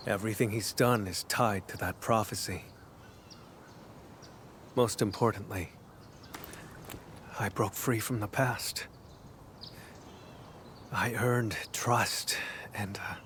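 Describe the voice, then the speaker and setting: An actor playing a brooding, solemn male warrior in a video game, earnest and devoted, speaking in measured, formal, hushed and intimate phrases. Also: fairly low pitch, a little hiss or hum. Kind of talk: video game story dialogue acting.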